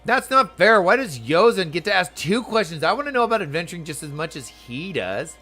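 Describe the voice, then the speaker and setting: A man puts on an eager little child in a fantasy game. The voice is pushed up high, curious and excitable, with a pleading edge. Music plays underneath. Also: brisk, full character voice.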